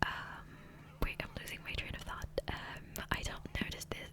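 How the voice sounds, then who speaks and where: Whispering woman, soft ASMR ramble. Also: normal speed. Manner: rambling, hesitant, self-interrupting. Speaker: ASMR gaming video creator. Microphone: close, sensitive USB microphone.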